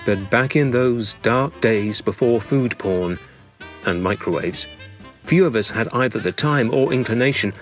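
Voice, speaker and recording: A man's voice: mid-range voice, a little slow. A British man reads a humorous scripted memoir in a wry, mock-grandiose manner, savouring ornate phrases. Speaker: narrator, reader of a memoir. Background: music.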